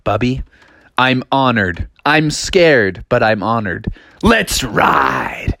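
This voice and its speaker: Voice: mid-range voice, sing-song, slow pace, a bit bright, slight background noise, voice pushed down, heavily acted. A storyteller voicing Sister Bear, a timid young girl bear who sounds fearful and cries out in alarm. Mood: nervous. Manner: fearful and breathless, crying out.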